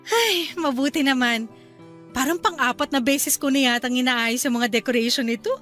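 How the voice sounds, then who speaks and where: An eager young Filipino wife and new mother in a radio drama. Her voice is high and cheerful, with music playing along. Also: normal speed, fairly bright tone.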